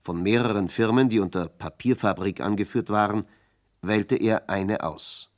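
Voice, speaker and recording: A man's voice: fairly low pitch, moderate pace. A man narrating a scripted German radio crime drama.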